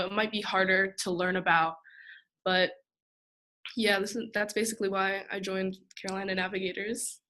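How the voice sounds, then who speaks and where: Young woman student presenter, easygoing mid-pitched voice, loose chatty delivery. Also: sharp tone. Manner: chatty, many ums and likes. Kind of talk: casual panel talk.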